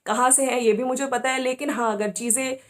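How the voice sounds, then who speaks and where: A woman's voice: high voice, steady tone, brisk, a bit bright. A woman giving casual opinion commentary as a host, talking conversationally and often checking for agreement. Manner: conversational, often seeking agreement with tag questions.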